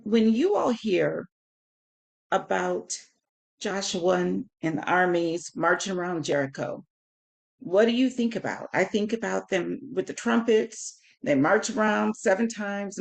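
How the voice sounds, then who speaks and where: A woman with a husky, hoarse voice hosting a live Bible study in an informal, conversational way. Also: lowish voice. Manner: informal, conversational, greets listeners by name. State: hoarse, sore voice. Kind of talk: live Bible teaching, conversational with scripture reading.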